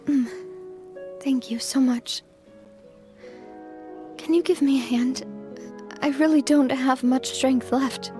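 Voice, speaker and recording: A young female boss from a video game story, her voice high and her delivery slow. She is earnest and deliberate, kind yet resolute, and carries a wistful weariness. Music plays behind her. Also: steady tone, warm tone. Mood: vulnerable, tired. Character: kind, resolute young woman leading an organization, weary and wistful. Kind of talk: dramatic video game voice acting.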